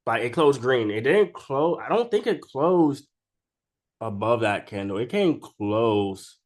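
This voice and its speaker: A man's voice: mid-range voice, animated, slow pace. Man with a cold, casual chart talk. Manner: informal and slangy, keeps tagging statements with "right?". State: sick with a cold. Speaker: stock trading video creator. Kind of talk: casual unscripted chart commentary.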